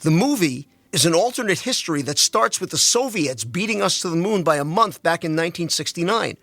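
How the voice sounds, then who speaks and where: Man playing a bright-voiced, inquisitive teacher. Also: on the high side, lively intonation, fairly quick, no room sound. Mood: chatty, enthusiastic. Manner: inquisitive, wry. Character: curious, joking married male teacher, loyal sidekick.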